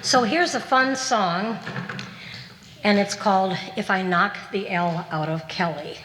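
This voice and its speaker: A woman's voice: mid-range voice, measured pace, in a large hall, background noise. A woman emceeing a community stage show, introducing young performers. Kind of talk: stage announcements and singing.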